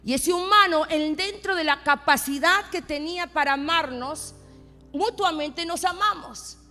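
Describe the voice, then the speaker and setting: A high-voiced woman from Argentina with an Argentine accent tells her testimony from a church pulpit. She moves from intimate storytelling to emphatic declarations. Her voice rings out in a hall with long reverb, and soft music plays beneath it. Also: measured pace. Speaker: pastor's wife preaching. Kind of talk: sermon testimony. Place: church sanctuary.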